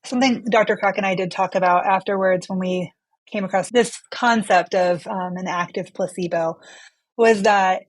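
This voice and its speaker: Female doctor researcher talking in an interview, earnest, mid pitch, really dry sound. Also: fairly even intonation, moderate pace, no echo, poor audio. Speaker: physician researcher guest. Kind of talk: conversational expert interview.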